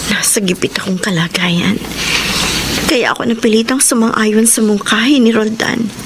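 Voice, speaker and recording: Woman acting high-voiced troubled young Filipina, radio drama. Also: steady tone, moderate pace, noisy background, natural voice. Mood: worried. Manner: dramatic, hesitant, emotional. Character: troubled young pregnant woman, anguished and reluctant.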